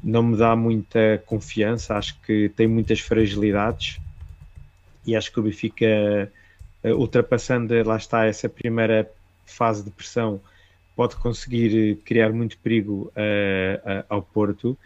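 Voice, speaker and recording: A man with a European Portuguese accent giving a measured, hesitant analysis on a casual panel. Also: on the low side, level tone, a little mellow, no echo. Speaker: football pundit. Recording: dull lo-fi dry sound.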